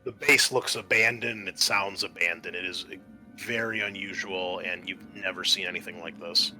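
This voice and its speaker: A man narrating a tabletop roleplaying game as game master, explaining in a halting way. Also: deep voice, steady tone, pushed and bright, soft background music, rough recording.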